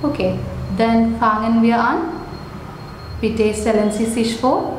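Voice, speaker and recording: A woman's voice: on the high side, fairly even intonation. A young woman with a Malayalam accent runs a practice speaking test in German, walking her students through each part with careful, repeated "okay"s. Her voice rings around a bare, echoey classroom, picked up by a camera across the desk, with a faint hum underneath. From Kerala, India. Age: 20s. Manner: slow, careful instructions with frequent "okay". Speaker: German teacher as mock examiner. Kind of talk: conducting a mock speaking exam.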